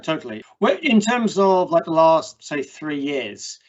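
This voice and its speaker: A man's voice: on the high side, very animated, a little slow. A man hosting an online meetup, chatting casually and ramblingly as he interviews a guest over a video call. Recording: dull, muffled call audio.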